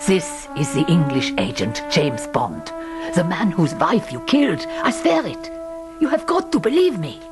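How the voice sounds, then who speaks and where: Low, intense villainess. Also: female voice, very animated, a little slow, music in the background. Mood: urgent, insistent. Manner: dramatic, urgent. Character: intense, suspicious female villain's companion. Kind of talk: radio play acting. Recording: film score behind voice.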